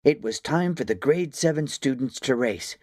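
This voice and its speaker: A man narrating a children's story from a script, with expressive, deliberate phrasing. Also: mid-range voice, dry recording, studio quality. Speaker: audiobook narrator.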